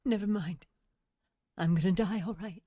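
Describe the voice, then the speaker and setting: A woman's voice: mid-range voice, normal speed, dark tone, dry recording, clean studio sound, close to an everyday voice. A woman playing a taunting, deathless witch in a vintage radio horror drama, mocking and theatrical as she dies. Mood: weary, resigned.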